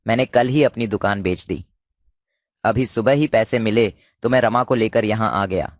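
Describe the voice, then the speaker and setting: A man's voice: fairly low pitch, normal speed, no room sound, clean studio sound, natural voice. A man voicing a blunt, headstrong younger brother in a cartoon, an uncle who turns loving. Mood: warm. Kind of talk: cartoon voice acting.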